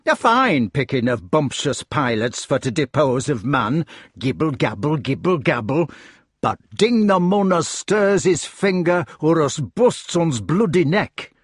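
A man's voice: on the high side, very animated, takes it slowly, slightly rough, dry recording, studio quality, pitched above the performer's own voice, heavily acted. A man voicing a small, indignant, vulgar hedgehog in a storybook, with a rustic English country dialect and a truculent, folksy, sarcastic manner. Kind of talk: audiobook character voice.

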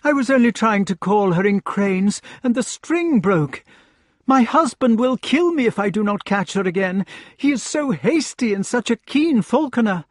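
A man voicing a flustered gentlewoman who chatters anxiously in a raised, fluttering voice. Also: high-pitched, expressive, normal speed, no echo, clean studio sound, voice pushed up. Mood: fretful.

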